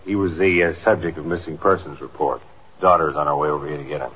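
An actor playing an easygoing, weary, kindly police detective in a 1950s radio drama, casual and offhand. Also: male voice, a bass voice, steady tone, quick pace, breathy edge. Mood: businesslike. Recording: dull, lo-fi 1950s radio recording.

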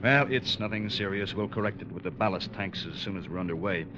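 A man's voice: on the low side, a little fast, dull audio, background noise, lightly acted. An actor playing a dutiful, uneasy first officer who narrates his own story in an old radio drama. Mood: guarded, brisk. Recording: band-limited vintage radio broadcast.

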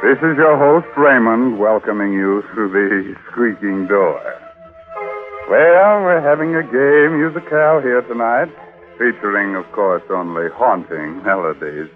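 An actor playing a ghoulish, mock-cheerful host of a 1940s radio mystery, theatrical and tongue-in-cheek on a muffled old broadcast. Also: male voice, on the low side. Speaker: horror radio show host.

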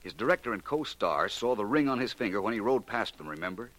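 An American actor playing a shrewd amateur detective in a 1940s radio mystery, articulate, coolly logical and slightly superior. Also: male voice, on the low side, very animated, fast, fairly bright tone. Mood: assured, insistent. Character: shrewd, unhurried male amateur detective solving a murder.

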